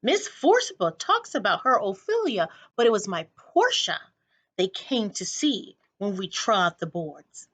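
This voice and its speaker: A woman's voice: mid-range voice, big pitch swings, unhurried, fairly bright tone. A woman voicing a small, elderly former stage actress in a storybook, proud, theatrical and nostalgic.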